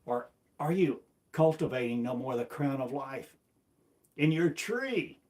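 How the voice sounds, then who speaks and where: A man's voice: medium pitch, animated, unhurried, darkish tone. A man delivering a rambling, self-recorded sermon, asking one repetitive, incantatory rhetorical question after another. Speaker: self-styled preacher.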